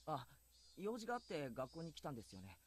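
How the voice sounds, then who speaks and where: An actor voicing an earnest, protective teenage schoolboy in a Japanese visual novel, informal in manner. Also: male voice, a little high, huge pitch swings, rapid-fire. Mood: polite.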